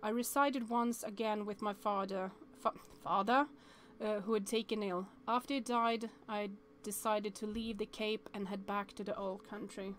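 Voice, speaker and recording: A woman's voice: mid-range voice, steady tone, moderate pace, few pauses. A woman streamer reading a visual novel aloud, stumbling over words and chatting to viewers between passages.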